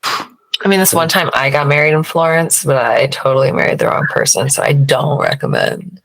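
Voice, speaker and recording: A woman's voice: rumbling low, moderate pace, vocal fry, a bit bright, no room sound, low-quality recording. A woman co-hosting a movie podcast, making wry, profane jokes in casual chat. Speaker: podcast co-host.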